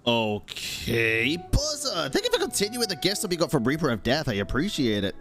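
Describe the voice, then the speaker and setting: A woman's voice: sits low, wildly swooping pitch, fairly quick, music in the background. A woman streaming a game, reacting casually in an exclamatory, sweary way.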